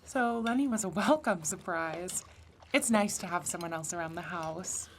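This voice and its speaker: A woman's voice: on the low side, normal speed, natural voice. Actress as doting, scolding motherly wife, slight noise. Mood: cheerfully defensive. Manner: cooing, defensive. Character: doting, scolding motherly wife fussing over adopted creature.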